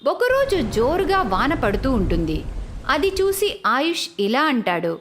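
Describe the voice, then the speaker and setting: A woman's voice: sits high, wildly swooping pitch, a little slow, slight background noise. A woman reading scripted narration for a moral story as its narrator.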